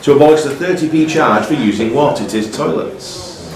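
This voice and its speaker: A man's voice: fairly high pitch, expressive, normal speed, creaky, a crowd in the background. A man hosting a live pub quiz as quizmaster, reading out questions and ruling on answers. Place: pub room. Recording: echoey pub room, distant mic, music behind.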